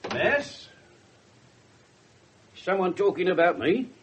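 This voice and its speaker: An English actor playing a blunt, sardonic, wisecracking police inspector in a radio drama. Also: male voice, high voice, big pitch swings, takes it slowly, dull audio, full character voice. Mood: wry, wisecracking. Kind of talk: 1970s radio drama acting. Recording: noisy 1970s radio broadcast recording.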